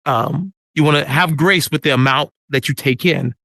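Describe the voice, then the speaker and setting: A man's voice: fairly high pitch, normal speed, creaky, fairly bright tone, dry recording. A man hosting a casual podcast, rambling with many fillers. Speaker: podcast host.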